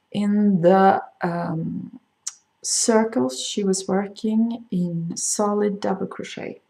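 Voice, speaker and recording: A middle-aged woman with a Swedish accent, a crochet designer talking casually to camera and explaining things with frequent pauses and fillers. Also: medium pitch, darkish tone. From Sweden. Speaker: crochet designer hosting a video podcast. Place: home in France.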